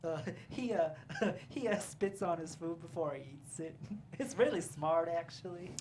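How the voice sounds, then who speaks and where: A woman's voice: lowish voice, animated, unhurried, noisy background. A woman in a comedy table read playing a caring, encouraging insect who takes charge. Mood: supportive. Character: caring, take-charge insect gang leader, encouraging and gentle.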